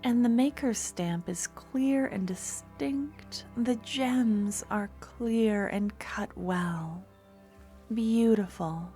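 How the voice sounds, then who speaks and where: A woman voicing a doting, possessive young woman merchant in an ASMR roleplay, speaking softly and often slipping into whispers. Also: on the high side, very slow, soft background music. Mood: soft, admiring. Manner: soft, intimate, slips into whispers.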